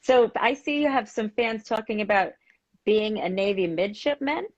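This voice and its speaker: A woman hosting a live interview show, chatty and quick to laugh. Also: medium pitch, lively intonation. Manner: bubbly, laughs often, quick reactions. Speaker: host. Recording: dull, lo-fi video call audio.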